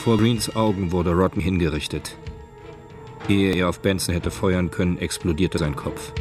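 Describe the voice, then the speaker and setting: Crime drama narrator: male actor, low voice, sober, terse, dramatic, music behind. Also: moderate pace, darkish tone, lightly acted. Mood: tense, grim. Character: sober narrator of a hard-boiled crime story. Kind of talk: scripted radio play narration.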